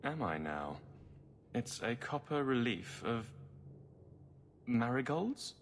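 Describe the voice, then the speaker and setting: Male courteous guardsman, fantasy game. Mild, puzzled, hesitant, very varied pitch, faint ambience. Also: very animated, slow, slight background noise. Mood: bemused. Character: polite, mild, bemused male city guardsman. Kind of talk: dramatic video game acting.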